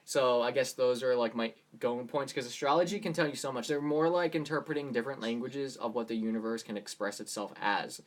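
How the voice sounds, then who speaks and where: A man's voice: mid-range voice, fairly quick, hardly any pauses. A man hosting a casual live question-and-answer stream on his phone, rambling loosely with lots of "like" fillers. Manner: rambling, relaxed, many "like" fillers. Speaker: livestream host answering viewer questions. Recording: loud guitar music behind voice. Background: acoustic guitar playing.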